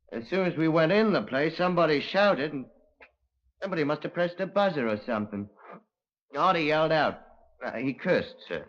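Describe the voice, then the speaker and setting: An actor in a 1950s radio drama playing a dim, meek young crook, hesitant and deferential, with ungrammatical speech. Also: male voice, on the high side, a bit bright. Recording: dull lo-fi 1950s radio recording.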